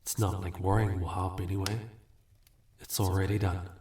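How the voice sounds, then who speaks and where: A man playing a suave, amused demon in a fantasy audio roleplay, condescending and teasing with unhurried courtesy. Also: low-pitched, level tone, darkish tone, a little hiss or hum, close to an everyday voice. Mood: smug, businesslike. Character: suave, amused, bargaining male demon, ageless and smug. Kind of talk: scripted fantasy audio roleplay addressed to listener. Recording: roomy echo, distant from mic.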